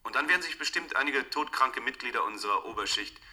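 A serious, thoughtful man in a science-fiction radio drama. His voice is very bright and tense, with a middle pitch. He explains grave matters with care. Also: expressive, fast. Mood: measured, explaining. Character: serious, thoughtful man reporting on a future crisis. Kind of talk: German science-fiction radio play acting.

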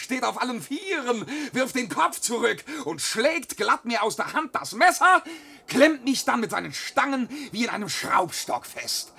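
A bragging young peasant in an old radio play, slow drawn-out delivery, raspy, loud then whispery. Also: male voice, high voice, full character voice. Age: young adult. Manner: swaggering storyteller, swings from loud to hushed. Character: young boastful peasant son spinning wild tales. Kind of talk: classic radio play acting in verse.

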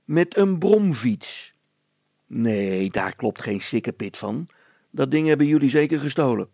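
A man voicing a suspicious, grumbling river skipper in an audiobook story, calling out loudly. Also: mid-range voice, lively intonation, unhurried, no room sound, voice pushed up. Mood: scornful, suspicious. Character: suspicious, gruff Dutch river barge skipper, shouting.

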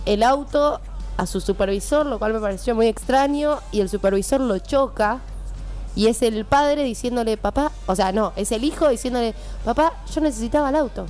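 A woman's voice: a little high, a little mellow. Argentine woman chatting over background music. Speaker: film columnist on a radio show. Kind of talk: casual chat, informal film review.

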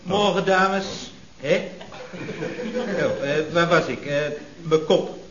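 An actor playing a befuddled, hungover employee, hesitant and evasive, in an old radio comedy. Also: male voice, fairly high pitch, slow, very noisy. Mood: groggy, confused. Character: muddled, hungover male employee, cheerfully evasive. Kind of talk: 1970s Dutch radio comedy acting. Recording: muffled, echoey old radio recording with audience.

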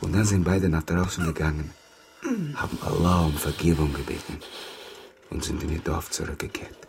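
An actor playing an embittered, grieving Afghan interpreter turned captor in a radio drama, moving between hushed confiding and outbursts. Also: male voice, a bass voice, little pitch movement, normal speed, gravelly, a little hiss or hum. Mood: subdued, bitter. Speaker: former army interpreter.

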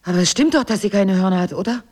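A wife in a troubled marriage, full of jealousy, doubt and remorse, performed by a woman for radio drama. Her pitch moves widely around an average female register, and she sounds emotional and pained. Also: animated, rapid-fire, a little mellow, natural voice. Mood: jealous, hurt. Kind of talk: German radio drama acting.

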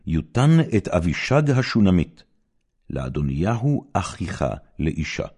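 A man's voice: low voice, big pitch swings, a little mellow, husky, heavily acted. A man with an Israeli accent voicing a courteous queen mother making a formal request in a scripture reading. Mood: polite, pleading.

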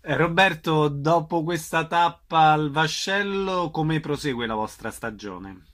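A man's voice: a little high, unhurried, fairly bright tone. A man hosting an interview, asking questions and giving information in a conversational style.

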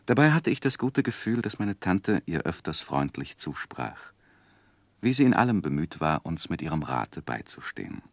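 Earnest, low-voiced young husband. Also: male voice, very animated, normal speed, no echo, exaggerated voice. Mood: reflective narration. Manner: earnest, hesitant in argument. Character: earnest young husband, anxious, patient, narrating his life. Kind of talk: 1960s German radio drama acting and literary narration.

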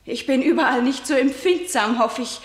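An actress playing a defiant young princess fiercely loyal to her dead brother, speaking classical verse intensely and dropping into hushed whispers. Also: high voice, normal speed, close to an everyday voice. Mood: resolute. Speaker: lead actor in a radio play. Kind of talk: classical verse radio drama. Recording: roomy studio echo.

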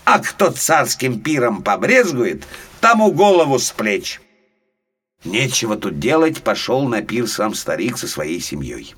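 A man narrating a folk tale for small children as an expressive, dramatic storyteller. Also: medium pitch, a little slow, slightly rough. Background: faint music.

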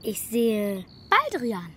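A clever young boy in a radio play, high-voiced and very slow. He answers carefully and precisely with a cheeky streak, over faint birdsong. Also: huge pitch swings, bright, forceful tone, slight background noise, full character voice. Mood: thoughtful, cheeky. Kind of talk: German radio play acting.

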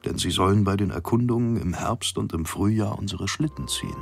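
An actor playing a vain, unflappable polar expedition captain who reads his journal in a measured, formal way. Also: on the low side, creaky, faint music behind. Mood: composed, stately. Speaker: actor in a radio play. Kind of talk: dramatised journal narration.

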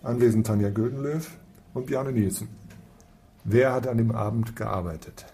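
An actor playing an impatient, commanding police investigator in a naturalistic radio drama, curt and sarcastic. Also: male voice, medium pitch, moderate pace, warm tone, a little hiss or hum, natural voice. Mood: stern, impatient. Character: brusque, impatient male police lead investigator.

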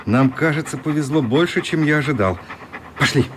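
A man playing a friendly, adventurous, comradely traveller in a radio play, brisk and good-humoured. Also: on the high side, a little hiss or hum, close to an everyday voice.

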